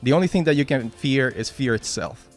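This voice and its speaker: Spanish-accented man giving a halting pep talk. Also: medium pitch, expressive, soft background music. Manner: halting, many restarts and "right?" tags. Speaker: team lead giving a motivational talk. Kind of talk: informal motivational talk at a work meeting.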